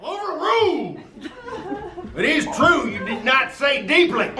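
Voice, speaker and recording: A man's voice: extremely high pitch, sing-song, unhurried, over a noisy crowd, full character voice. A man voicing a curt, offhand male judge in an amateur play reading. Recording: distant voice in an echoey, noisy room.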